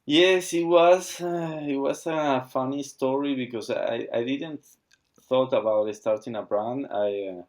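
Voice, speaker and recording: A man, speaking haltingly as he searches for words while being interviewed about founding his watch brand. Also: mid-range voice, darkish tone. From Argentina. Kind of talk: casual interview.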